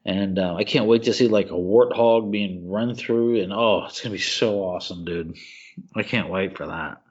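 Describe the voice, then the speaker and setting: Excitable low-voiced man on a podcast. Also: normal speed, lo-fi sound. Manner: excitable, gushing, says "dude" often. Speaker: podcast co-host. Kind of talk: casual chat.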